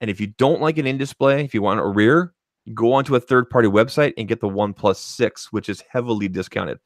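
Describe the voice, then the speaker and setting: A man with a fairly low voice talks on a tech podcast, firm and opinionated, stressing his points with emphasis. The sound is close and dry, with no room around it. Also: a little fast, no echo. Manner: emphatic, opinionated, says okay a lot. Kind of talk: casual podcast chat. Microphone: studio microphone.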